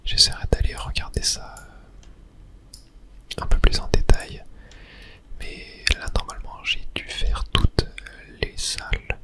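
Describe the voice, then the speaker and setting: A fully whispered voice in an ASMR gaming style, speaking close to the microphone. The delivery is hushed and unhurried, commenting on the game as it is played. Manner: hushed whispering, unhurried narration of own play. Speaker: gaming ASMR creator and host.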